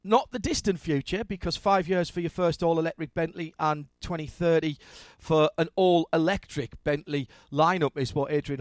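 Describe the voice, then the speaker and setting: A man's voice: a little high, no room sound. A man hosting a motorsport interview show, enthusiastic and upbeat. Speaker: radio show host.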